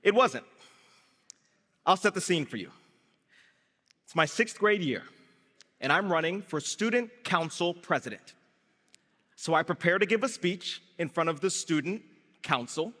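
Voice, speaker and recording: Energetic young man speaking in a hall. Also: high-pitched, a little slow, pauses often. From Brazil, raised in Guyana. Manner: energetic storytelling, comic timing, addresses the crowd. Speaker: startup founder giving a gala speech. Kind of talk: live stage speech with audience call and response. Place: large event hall before an audience. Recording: reverberant hall through a PA.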